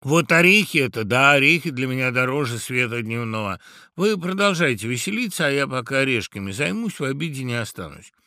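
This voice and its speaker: A man voicing a small, polite and eager storybook mouse in an earnest, squeaking manner. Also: expressive, a little fast, sharp tone, dry recording, clean studio sound. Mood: polite refusal. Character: small polite eager male mouse, humble party guest.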